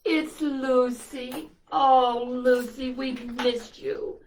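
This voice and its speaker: Slow-paced woman reading a children's story. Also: high-pitched, slight background noise, poor audio. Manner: expressive storytelling with asides to young listeners. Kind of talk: reading a picture book aloud to children.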